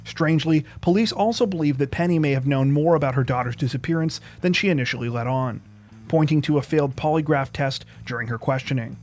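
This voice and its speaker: Male true crime narrator, slightly high voice, serious and measured, soft guitar music underneath. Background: plucked guitar music.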